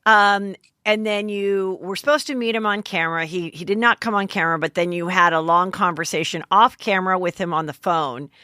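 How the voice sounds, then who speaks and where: A woman's voice: fairly low pitch, steady tone, a little fast, hardly any pauses, bright, forceful tone, clear, resonant tone, dry recording, studio quality. A woman hosting a podcast, asking a guest probing, curious questions. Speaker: podcast host. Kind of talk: interviewing a guest.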